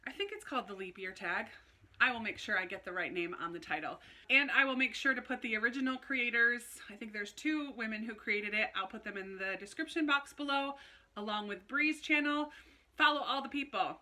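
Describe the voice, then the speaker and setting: A woman chatting casually to camera like a book vlogger, in an animated, informal way with little self-interrupting asides. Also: a little high, a little fast. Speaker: book review video host. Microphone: phone camera recording.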